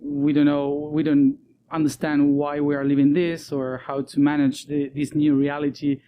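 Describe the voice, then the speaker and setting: Soft-spoken man. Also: a little high, monotone, muffled recording, slight background noise. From Argentina. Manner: soft-spoken, laughs often, many um and uh pauses. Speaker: guest. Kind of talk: casual interview talk.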